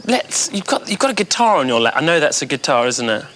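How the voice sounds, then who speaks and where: A man's voice: a little high, big pitch swings, fast talker, fairly bright tone, slight background noise. A man hosting a late-night TV chat show, teasing his guest playfully. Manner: playful, teasing, laughs often. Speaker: TV host. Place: TV studio.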